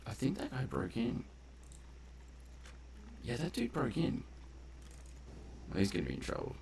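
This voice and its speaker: A man's voice: medium pitch, huge pitch swings, measured pace, vocal fry, darkish tone, a little hiss or hum. A man giving casual gameplay commentary, thinking aloud in a laid-back, colloquial mutter. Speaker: gameplay video host.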